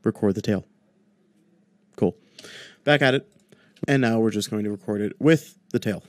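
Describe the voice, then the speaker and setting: A man's voice: on the low side, normal speed, no echo. A man in his twenties hosting a casual audio tutorial, explaining things in a chatty, informal way into a close desk microphone. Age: 20s. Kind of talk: casual screen-recorded tutorial. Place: small home room, indoors. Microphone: close desk microphone on boom arm.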